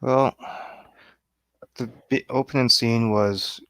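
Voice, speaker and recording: A man's voice: medium pitch, steady tone, slow, a bit bright, dry recording. A man giving his opinions casually as a guest on a film review.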